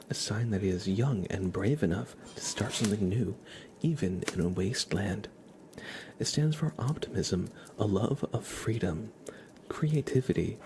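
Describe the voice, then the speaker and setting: A man speaking softly and gently in a casual ASMR livestream style, often drifting into a whisper. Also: on the low side, a little hiss or hum. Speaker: ASMR live streamer, host. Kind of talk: soft-spoken ASMR livestream, casual chat and tarot reading.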